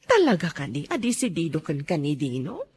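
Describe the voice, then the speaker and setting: A woman plays a sociable friend in a radio drama. She sounds husky and animated. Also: low-pitched, fairly quick, full character voice. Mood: friendly. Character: sociable female friend of a middle-aged woman.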